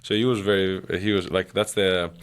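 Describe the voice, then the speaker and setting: A man's voice: sits low, fairly even intonation, moderate pace, clean tone. A young man from Greece with a Greek accent, chatting earnestly as an interview guest and laughing often. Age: young adult. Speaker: guest athlete. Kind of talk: casual interview chat.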